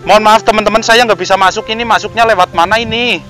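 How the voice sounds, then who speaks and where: A man's voice: high voice, fairly even intonation, fast, pushed and bright, soft background music. A middle-aged man with a Javanese accent, speaking casually and politely as an on-location vlogger, slightly muffled by a face mask. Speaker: vlogger helping homeless people. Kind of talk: casual on-location vlog narration and chat. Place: outdoors, yard and riverside by a road bridge.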